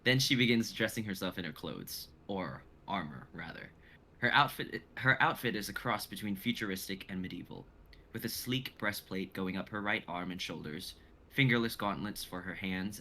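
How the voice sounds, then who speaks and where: A man's voice: deep voice, normal speed, sharp tone, a little hiss or hum, low-quality recording. A young man reading stage directions as dramatic narration in a live script reading. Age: young adult.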